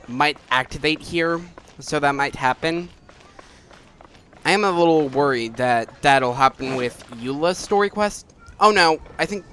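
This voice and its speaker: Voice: medium pitch, fairly bright tone, a little hiss or hum. A game streamer giving casual commentary while playing. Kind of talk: casual gameplay commentary, reading dialogue choices aloud.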